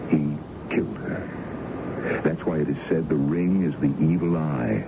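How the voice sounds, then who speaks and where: An actor playing a suave, confident businessman in a 1970s American radio drama, with a smooth, persuasive manner. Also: very deep voice, unhurried, exaggerated voice. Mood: assured, persuasive. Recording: dull, noisy 1970s radio broadcast.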